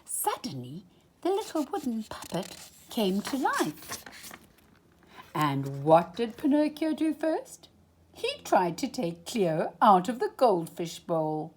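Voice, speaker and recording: A woman storyteller reading a children's storybook expressively, with a deliberate, dramatic delivery that moves between full voice and hushed phrasing. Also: mid-range voice, wildly swooping pitch, a little hiss or hum.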